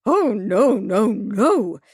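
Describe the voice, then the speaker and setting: A woman doing a shrill, strident committee lady. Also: big pitch swings, a little mellow, breathy edge, dry recording, studio quality, full character voice. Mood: fussy. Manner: brisk, impatient, fussy. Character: bustling, shrill middle-aged committee lady.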